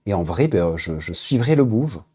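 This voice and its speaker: Male streamer host, low husky dark voice, loose hesitant chat-reading delivery. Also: sing-song, normal speed. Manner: reads chat names aloud, many hesitant fillers. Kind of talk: casual live-stream chat.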